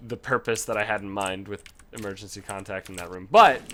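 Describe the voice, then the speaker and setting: A man casually commentating his own gameplay, swearing freely and bursting into frustrated yells. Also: on the low side, a little fast, slight background noise. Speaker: gaming commentator. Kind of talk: casual let's play commentary.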